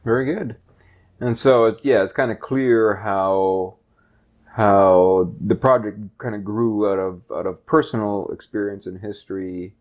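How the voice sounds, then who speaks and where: A man in his thirties from Canada and Texas, speaking unscripted in a halting, reflective way as he discusses his personal history. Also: lowish voice, steady tone. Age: 30s. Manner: halting, reflective, rephrases as he goes. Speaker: project co-creator and interviewer. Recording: dull, lo-fi sound.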